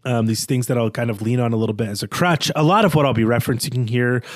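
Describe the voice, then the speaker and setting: A man's voice: mid-range voice, fast, dry recording, clean studio sound. A man co-hosting a literary podcast, talking through a prepared argument in a discursive, bookish and self-deprecating way. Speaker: podcast co-host presenting his reading of a novel.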